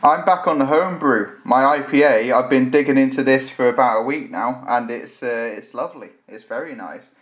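A man's voice: medium pitch, moderate pace, few pauses. A man co-hosting a podcast, chatting in an enthusiastic, rambling way. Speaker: podcast co-host. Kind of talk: casual podcast chat.

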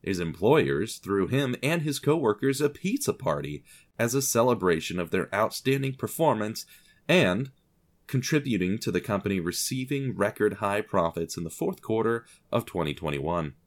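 A man's voice: on the low side, big pitch swings, normal speed. A man reading scripted story narration with a dry, wry, understated manner.

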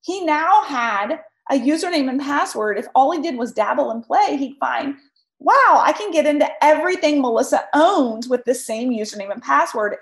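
A woman with a high voice, made scratchy by a cold, hosts a solo podcast. She talks emphatically and right at her listeners, and the sound rings in an echoey room. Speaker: podcast host. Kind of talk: solo podcast talk, advice.

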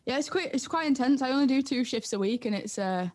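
A woman's voice: sits high, expressive, quick pace, a little mellow. A young woman with a North East English accent, talking casually and unassumingly as an interview guest. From North East England. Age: young adult. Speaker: interview guest, music producer. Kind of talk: casual chat.

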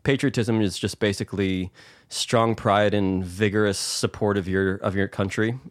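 A man's voice: lowish voice, steady tone, moderate pace, dry recording, clean studio sound. An American man co-hosting a podcast discussion, explaining his views conversationally as he thinks aloud. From United States. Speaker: podcast co-host.

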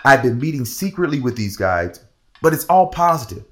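A man playing an earnest, self-assured senator in an audio drama, smitten and quick to defend himself. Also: mid-range voice, sing-song, a bit bright. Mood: uneasy, pleading. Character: smitten, self-assured male senator fighting for common people.

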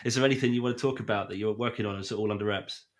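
A British man with a voice husky from a cold, co-hosting a podcast in an excitable, casual fan style. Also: on the low side, very fast. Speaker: podcast co-host.

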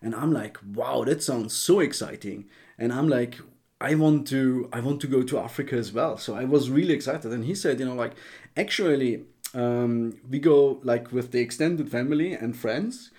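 A man's voice: medium pitch, normal speed, darkish tone. A man from western Austria, a native German speaker, telling his story as an interview guest, hesitant and searching for words. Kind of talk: casual interview chat.

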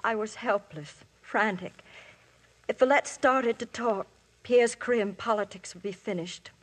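A woman's voice: a little high, measured pace, close to an everyday voice, rough recording. A woman playing an anxious young wife confessing. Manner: earnest, quietly desperate. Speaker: narrator of her story to police. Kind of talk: 1950s radio drama acting. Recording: old radio broadcast, faint hiss.

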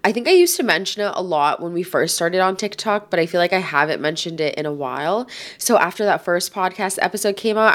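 A woman in her twenties hosting a casual solo podcast, chatty and rambling, filling her talk with "like", with a stuffy nose from a cold. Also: fairly low pitch. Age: 20s. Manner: chatty, rambling, says "like" a lot. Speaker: podcast host.

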